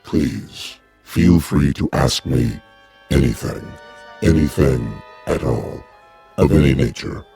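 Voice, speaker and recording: A towering alien envoy with a rock-bottom bass voice. He is calm, courteous and measured, addressing humans formally in a radio drama, with soft music underneath. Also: full character voice. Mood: courteous, solemn.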